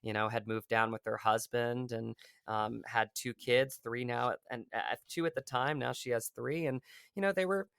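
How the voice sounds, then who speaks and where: A man's voice: mid-range voice, normal speed, gravelly, a bit bright, smooth, no echo, studio quality. A man being interviewed about his acting work, talking earnestly and rambling with lots of fillers and restarts. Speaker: actor guest. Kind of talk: casual interview conversation.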